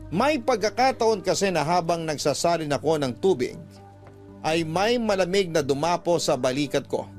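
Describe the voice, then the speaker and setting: A man with a Filipino accent narrating a dramatic first-person story from a script. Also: on the high side. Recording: music bed behind narration.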